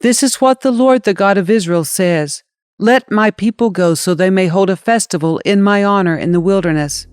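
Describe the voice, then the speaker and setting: A woman's voice: medium pitch, moderate pace, smooth, no room sound, clean studio sound, close to an everyday voice. Bold, earnest male prophet performed by a female reader, insistent delivery for scripture storytelling. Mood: solemn, insistent.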